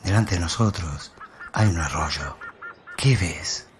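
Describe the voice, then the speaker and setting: A man's voice: low voice, takes it slowly. A man voicing a stern, probing school inspector in a radio play, questioning firmly and commandingly over a noisy ambient background. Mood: hypnotic, coaxing. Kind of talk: dramatic radio play storytelling.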